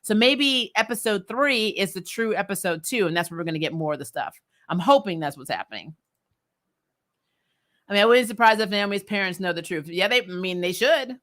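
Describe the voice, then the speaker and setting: A woman hosting a casual fan recap livestream, animated and giggly, giving emphatic opinions and repeating herself for effect. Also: medium pitch, brisk. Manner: giggly, amused. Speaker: host of a fan recap show. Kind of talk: casual livestream chat, reading and answering viewer comments.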